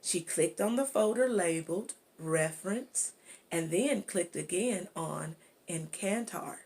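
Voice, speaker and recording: A woman reading a children's storybook aloud as narrator, deliberately, with pauses inside her sentences. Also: on the low side, darkish tone. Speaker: guest reader. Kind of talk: audiobook-style storybook read-aloud.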